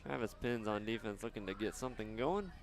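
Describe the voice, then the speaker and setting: A man doing live soccer commentary outdoors, chatting casually and haltingly between plays. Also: on the low side, lively intonation. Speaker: sports play-by-play announcer.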